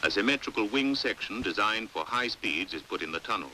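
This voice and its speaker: Bright, crisp British male documentary narrator, hissy film. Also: lowish voice, expressive, rough recording. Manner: measured, precise, didactic. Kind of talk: scripted 1950s educational film narration. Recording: hissy 1950s film soundtrack. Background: faint hiss.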